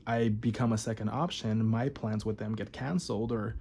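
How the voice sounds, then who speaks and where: Man, early thirties, lowish voice, candid and rambling, lots of fillers, confessional vlog delivery. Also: fairly even intonation, normal speed, darkish tone, husky. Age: 30s. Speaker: vlogger. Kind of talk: confessional talk to camera.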